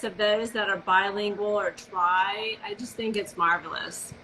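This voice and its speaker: A woman's voice: mid-range voice, fairly even intonation, unhurried, pushed and bright, a little hiss or hum, low-quality recording. A woman hosting a casual live video interview, talking with gushing enthusiasm. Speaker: host.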